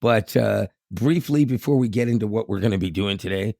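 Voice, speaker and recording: A hoarse man from Canada, co-hosting a casual podcast in a rambling way, with restarts and chuckles. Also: fairly low pitch, a little fast, darkish tone, no room sound, clean studio sound. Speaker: podcast co-host.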